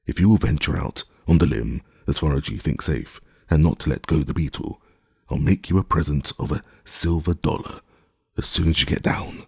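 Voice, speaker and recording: A man voicing an excitable gentleman, feverish and commanding, who speaks in urgent, demanding questions. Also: very deep voice, normal speed, creaky, breathy edge, no room sound, clean studio sound, exaggerated voice. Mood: excited.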